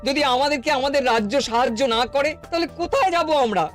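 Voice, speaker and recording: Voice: high-pitched, animated, quick pace, soft background music. An actor playing a humble, aggrieved village father in a cartoon, earnest and plaintive. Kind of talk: cartoon voice acting.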